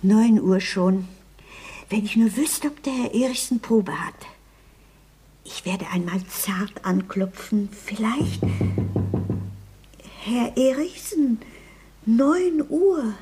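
High-voiced, fussy old landlady. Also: lively intonation, takes it slowly, pauses often, dark tone, husky, full character voice. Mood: fussing. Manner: flustered, fussy, muttering to herself. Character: nervous, fussy elderly landlady. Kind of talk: 1960s German radio comedy acting.

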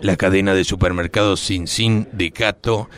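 A bumbling junior officer , voiced by a man, reads out case details in a flat, matter-of-fact way. His voice is very low. It is an amateur radio play. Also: moderate pace. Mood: briefing. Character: bumbling male police officer giving briefings.

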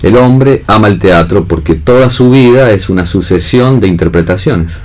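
A man's voice: on the low side, normal speed, a little mellow, close to an everyday voice. An actor playing a jealous, lovesick man in a theatrical play reading. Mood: earnest, declaiming. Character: jealous lovesick man, proud of being male. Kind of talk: read-theatre stage acting on radio.

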